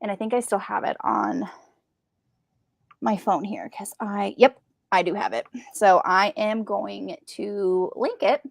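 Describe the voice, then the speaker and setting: A woman co-hosting a casual book discussion, animated and given to repeating words for emphasis. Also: medium pitch, a little slow, creaky, no echo, clean studio sound. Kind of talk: casual live discussion of classic books.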